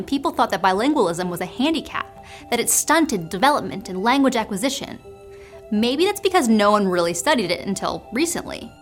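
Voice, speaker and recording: Lively woman narrating a science show. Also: a little high. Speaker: host.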